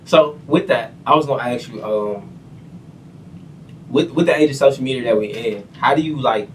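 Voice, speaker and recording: A man's voice: medium pitch, moderate pace, recorded from a distance, slight background noise, low-quality recording. A young man hosting a casual interview podcast, talking in a laid-back way with lots of fillers. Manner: laid-back, frequent fillers like "you know what I'm saying". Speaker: podcast host.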